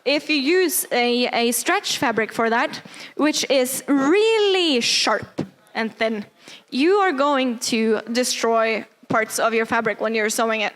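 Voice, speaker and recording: Young woman, high pitch, non-native English speaker, casual presenter who stops to hunt for words. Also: takes it slowly, hardly any pauses, a bit bright. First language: not English. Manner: hesitant, many fillers, searching for words. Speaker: cosplay workshop presenter. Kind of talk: casual workshop talk.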